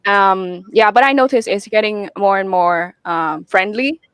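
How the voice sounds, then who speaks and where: Woman guest, earnest, lots of fillers, mid-pitched voice, dry close sound. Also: unhurried, a bit bright, clear, resonant tone, no echo. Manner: earnest, thinks aloud with many fillers. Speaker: guest, security researcher. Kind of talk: candid interview conversation.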